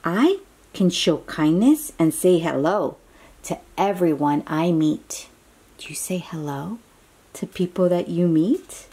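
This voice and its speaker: A woman reads a children's book aloud with lively swings in pitch and an unhurried pace. Her voice is soft-edged and mellow, and she speaks encouragingly, as if chatting directly with a small child. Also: breathy edge, low-quality recording. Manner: encouraging, exclaiming, asks the listener questions. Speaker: children's picture book reader. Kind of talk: read-aloud storytime for young children, with chatty asides.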